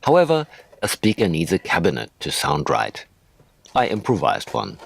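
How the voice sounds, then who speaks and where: A man's voice: deep voice, wildly swooping pitch, normal speed, vocal fry, slight background noise. A man narrating a hobby tutorial as a voice-over, hosting his own how-to video.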